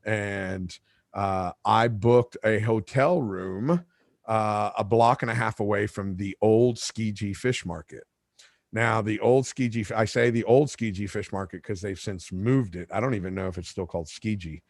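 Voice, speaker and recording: A man's voice: on the low side, moderate pace, creaky, dry recording, clean studio sound. A man whose first language is Spanish, hosting a podcast and telling a long story in a casual, rambling way with lots of asides and restarts. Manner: rambling, self-correcting, with asides. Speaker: podcast host.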